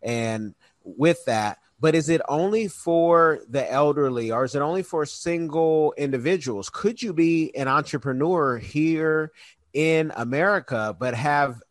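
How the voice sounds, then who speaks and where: A man's voice: on the high side, measured pace, no echo. A man hosting an interview, enthusiastic and conversational as he asks his questions. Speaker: host, interviewer.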